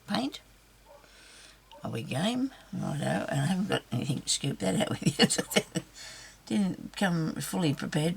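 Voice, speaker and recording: A middle-aged woman with a low raspy voice, chatting and rambling to viewers through a crafting demo. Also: background noise. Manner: rambling, self-chuckling, talks to viewers. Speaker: craft vlogger.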